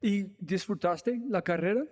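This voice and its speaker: A man's voice: sits high, unhurried, a little mellow, long reverb tail. Upbeat, curious male podcast host. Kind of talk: casual interview questions.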